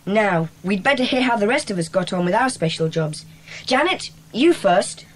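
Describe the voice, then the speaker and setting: A woman playing a brisk, bossy schoolboy who leads a secret club in a children's audio drama. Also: medium pitch, expressive, natural voice, low-quality recording. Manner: commanding, brisk.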